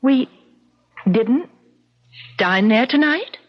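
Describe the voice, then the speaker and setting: A fearful, insistent woman in an old radio mystery, doubting her own mind. Her voice is high and swings dramatically. The vintage recording is dull. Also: lively intonation, drawn-out words, exaggerated voice. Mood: anxious. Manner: emphatic, emotional, dramatic.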